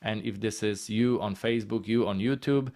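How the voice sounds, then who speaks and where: A man with a Polish accent talks through his points in a relaxed, encouraging way. His voice sits a little low, and he breaks his thoughts into short, easy phrases with the odd filler. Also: moderate pace. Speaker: guest speaker on healthcare marketing. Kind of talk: casual presentation.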